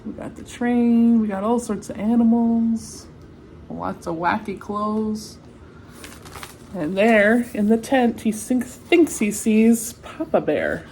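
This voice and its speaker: A woman's voice: fairly high pitch, darkish tone, a little hiss or hum, poor audio. A woman reading a children's picture book aloud expressively, with chatty asides and exclamations of wonder. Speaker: read-aloud storyteller.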